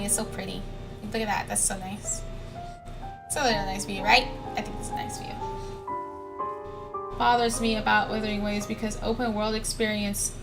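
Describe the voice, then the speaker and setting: A woman's voice: on the high side, rough recording. A young woman chatting casually as she livestreams a video game, in an informal, conversational style. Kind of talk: casual livestream chat over gameplay. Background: background music.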